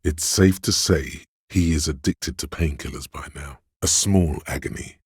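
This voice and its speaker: A very deep, menacing inner pain. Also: male voice, darkish tone, breathy edge, no room sound, clean studio sound, full character voice. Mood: grim, deliberate. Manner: brooding, deliberate, goading, theatrical. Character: inner voice of pain, male, menacing, darkly poetic. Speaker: video game narrator voicing a character's inner thought. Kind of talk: dramatic second-person game narration.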